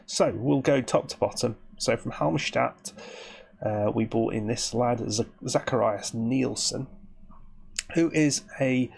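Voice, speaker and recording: A man, croaky and sniffly with hay fever, giving rambling, chatty commentary on his game as host. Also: medium pitch, slow, a little mellow. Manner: rambling, chatty, with frequent restarts. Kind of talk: casual gameplay commentary.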